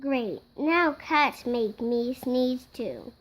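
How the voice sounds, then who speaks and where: Slow, high-voiced five-year-old reading aloud. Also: lively intonation, a little hiss or hum. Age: child, five years old. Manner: slow, halting word-by-word reading with acted-out sneezes. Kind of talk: reading a picture book aloud.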